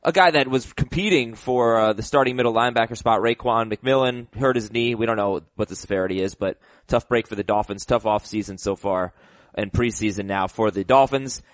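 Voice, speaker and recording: A man hosting a casual sports talk show, animated and giving hot takes, his voice a little rough from getting sick. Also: medium pitch, fairly quick, dry recording. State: slightly sick voice. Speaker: podcast host.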